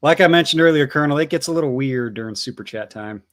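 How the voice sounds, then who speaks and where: A man's voice: on the high side, quick pace, fairly bright tone. A man hosting a casual livestream, reading out viewer donations and messages in a chatty, swearing manner.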